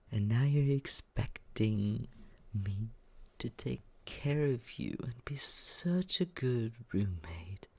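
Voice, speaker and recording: A performer voicing a smug, mocking young male roommate in an ASMR roleplay, with a breathy, husky voice that often slips into a whisper and a condescending, taunting drawl. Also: mid-range voice, dark tone, no echo, exaggerated voice. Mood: scolding. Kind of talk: ASMR roleplay, slow teasing monologue.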